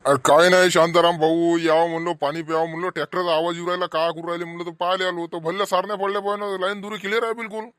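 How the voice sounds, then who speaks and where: A man playing a blunt, excitable middle-aged village farmer uncle in a comedy cartoon, speaking emphatically in an earthy Vidarbha Marathi accent. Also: high-pitched, rapid-fire, hardly any pauses, clear, resonant tone, dry recording, studio quality. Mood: admiring chatter. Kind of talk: homemade rural comedy cartoon dialogue.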